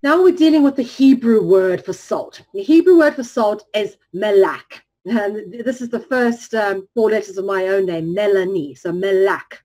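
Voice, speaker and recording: A woman giving a lecture-style presentation, talking in a measured way with frequent ums and restarts, drawing out key words slowly. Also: mid-range voice, warm tone.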